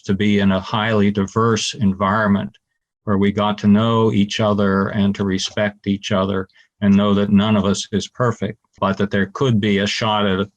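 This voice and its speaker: An older man with a low, level voice speaks in an even, steady way. He served as a bishop and reflects on growing up in Pittsburgh. His delivery is calm and unhurried. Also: muffled recording. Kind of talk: personal reflection.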